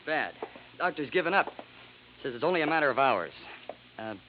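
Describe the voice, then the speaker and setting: A man's voice: medium pitch, big pitch swings, moderate pace, natural voice. A man playing a harried, anxious grandson in a radio drama, clipped and urgent. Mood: anxious, grave. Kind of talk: old-time radio acting. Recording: dull, noisy vintage radio recording.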